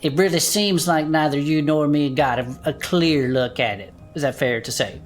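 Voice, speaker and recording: Woman doing a low-pitched, folksy, crude adventurer. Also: moderate pace, faint music behind, natural voice. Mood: folksy, probing. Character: folksy, crude, excitable adventurer co-hosting a monster-mystery show. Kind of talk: audio drama acting as a chatty fantasy podcast co-host.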